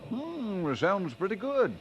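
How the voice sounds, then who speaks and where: High, lively preacher. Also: male voice, measured pace, muffled recording. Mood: keen, bargaining. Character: earnest, thrifty young Scottish preacher, good-humoured. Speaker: actor. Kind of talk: audio drama acting.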